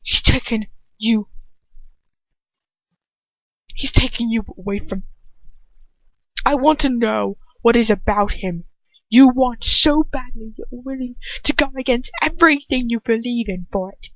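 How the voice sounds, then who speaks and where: A woman's voice: a little high, a little slow, pauses often, fairly bright tone, no room sound, lo-fi sound. A woman voicing an anguished, betrayed young man with bitter, pained delivery in a story reading. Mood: bitter, demanding.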